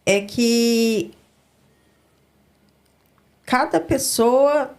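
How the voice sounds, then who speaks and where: Woman host, studio interview; slow, soft, affirming delivery. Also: fairly high pitch. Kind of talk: interview conversation.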